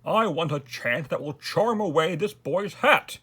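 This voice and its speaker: A man voicing a blustering, impatient storybook king who commands and exclaims loudly. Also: on the high side, expressive, unhurried, breathy edge, pitched above the performer's own voice, heavily acted. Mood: exasperated.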